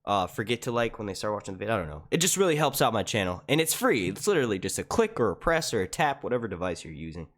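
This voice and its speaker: Young male streamer, naturally loud and projecting, casual and profane, animated delivery. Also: lowish voice, rapid-fire, few pauses. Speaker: YouTube live stream host. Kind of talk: casual live stream chat. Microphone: desk mic.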